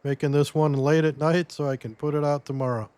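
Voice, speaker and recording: A man losing his voice a little, giving a relaxed step-by-step gameplay tutorial as commentary. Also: fairly high pitch, brisk, clear, resonant tone, no echo. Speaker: game guide creator.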